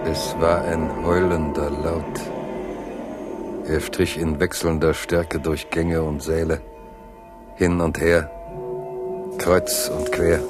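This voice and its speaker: A man narrating a historical radio play as a grave storyteller, in a solemn, measured, literary manner. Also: extremely low pitch, flat delivery, loud music behind the voice. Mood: grave, suspenseful. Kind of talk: dramatic scripted narration for German radio play.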